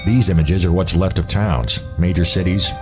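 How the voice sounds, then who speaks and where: A man's voice: sits low, moderate pace, music in the background, close to an everyday voice. A man playing a respectful starship officer who reports in a grave, matter-of-fact way in a sci-fi audio drama. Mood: grave reporting.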